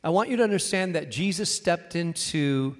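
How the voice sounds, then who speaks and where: A man preaching a sermon as a pastor, speaking in short, weighted phrases with dramatic pauses and emphatic repetition. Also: fairly high pitch, animated.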